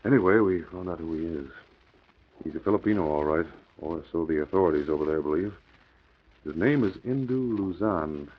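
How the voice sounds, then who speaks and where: A man acting a gruff, frustrated middle-aged police commissioner in a 1940s radio drama. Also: low voice, fairly quick. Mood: brisk, confiding. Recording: muffled old 1940s radio recording.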